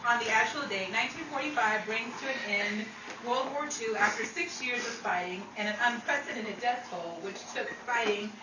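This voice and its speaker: A woman's voice: a little high, normal speed. A woman narrating a scripted documentary-style radio program. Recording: roomy, distant mic, noisy background.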